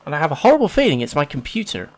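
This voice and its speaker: A man's voice: a little high, big pitch swings, racing. English guy doing a casual let's play, bit sniffly from hay fever, chatty, pokes fun at himself. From England. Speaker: gaming video host.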